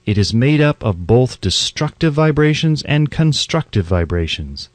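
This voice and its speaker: A man reading a self-help audiobook as scripted narration, with deliberate, emphatic phrasing and pauses. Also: mid-range voice, very animated, dry recording.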